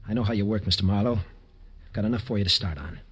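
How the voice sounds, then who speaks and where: A man acting a young condemned prisoner in an old-time radio drama, speaking earnestly and pleadingly in rough street slang. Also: lowish voice, very fast, muffled recording. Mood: urgent, earnest. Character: young condemned male convict, earnest, repentant, urgent.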